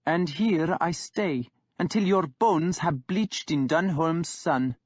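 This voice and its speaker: A man's voice: fairly high pitch, slow, no echo, lo-fi sound, pitched above the performer's own voice. A man voicing a young male king who speaks in a proud, insistent way. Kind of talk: audiobook character voice.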